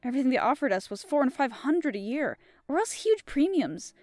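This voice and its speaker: A woman's voice: sits high, sing-song, brisk, a little mellow, dry recording, clean studio sound, voice pushed up. A woman reading aloud and voicing a chatty, breathless middle-aged housewife who gushes and rambles. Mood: gushing. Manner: gushing and rambling.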